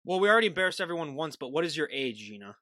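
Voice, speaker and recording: A man in his mid-twenties hosting a podcast, joking and excitable as he questions his guest. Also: on the high side, very fast, no echo. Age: 20s. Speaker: podcast host. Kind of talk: casual podcast interview.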